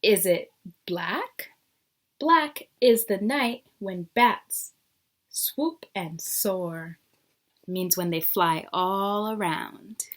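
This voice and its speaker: A woman's voice: medium pitch, drawn-out words, fairly bright tone. A woman teacher reading a picture book aloud to young children, explaining things simply and asking them questions.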